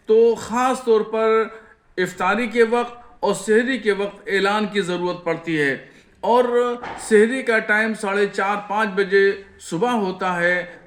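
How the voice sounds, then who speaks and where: Slow, high-voiced cleric in echoey room. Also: male voice, a bit bright. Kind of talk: statement to reporters.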